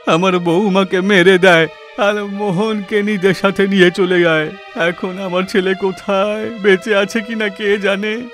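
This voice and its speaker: A man as a worried, higher-voiced village elder. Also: little pitch movement, measured pace, a bit bright, soft background music. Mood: distressed. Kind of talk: animated horror story dialogue.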